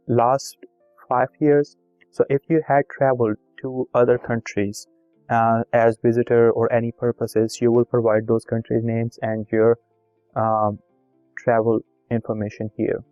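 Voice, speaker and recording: A man whose first language is Urdu guides viewers through a form in an even, monotone voice, reading each field aloud and repeating key points. The recording is dry and a little dull, with faint music underneath. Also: mid-range voice, no echo. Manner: plain instructive, reads form fields aloud, repetitive. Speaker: video tutorial host. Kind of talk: screen-recorded step-by-step walkthrough.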